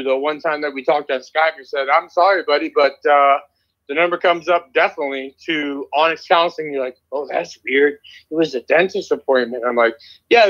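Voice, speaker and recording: A man's voice: on the high side, fairly bright tone, muffled recording. A man arguing combatively, swearing and repeating his claims insistently. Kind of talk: heated online argument.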